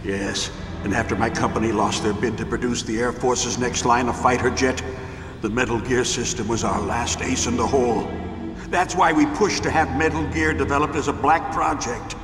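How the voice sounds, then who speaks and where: A man's voice: medium pitch, steady tone, moderate pace, close to an everyday voice. Man acting a cynical older executive, echoing reverb. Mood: smug, explanatory. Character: defensive, cynical older male arms company president, wounded. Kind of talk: dramatic video game cutscene acting. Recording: echoey reverb under loud game music.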